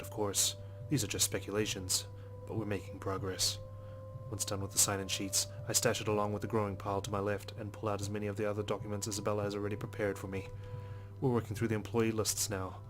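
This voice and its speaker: An actor playing a dogged, skeptical male police detective in a visual novel game, speaking in a measured, serious, matter-of-fact way over music. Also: on the low side, close to an everyday voice. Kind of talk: scripted visual novel narration and dialogue. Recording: music behind the voice.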